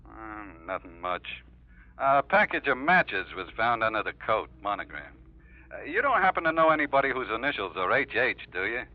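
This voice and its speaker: An actor playing a laconic, unhurried police lieutenant in an old radio detective drama. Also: male voice, medium pitch, very animated, close to an everyday voice. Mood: dry, matter-of-fact. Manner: dry, laconic. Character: dry, unhurried male police lieutenant on the phone. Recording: muffled vintage radio recording.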